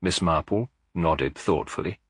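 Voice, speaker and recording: A man reading a cozy mystery story as a scripted audiobook, in a measured, literary storytelling manner. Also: deep voice, slightly rough, no echo, clean studio sound. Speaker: narrator.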